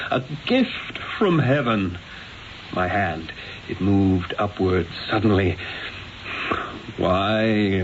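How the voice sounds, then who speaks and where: An actor playing an obsessed, rapturous Renaissance master painter in a radio drama, with a theatrical, musing delivery on a muffled old radio recording. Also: male voice, fairly low pitch, slight background noise. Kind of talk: radio drama acting and reflective first-person narration.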